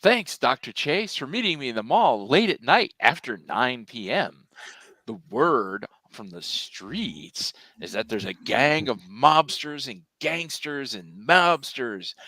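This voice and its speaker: A man's voice: on the high side, pushed and bright, dry recording, heavily acted. A man playing an earnest woman police officer in a hammy TV crime drama script reading.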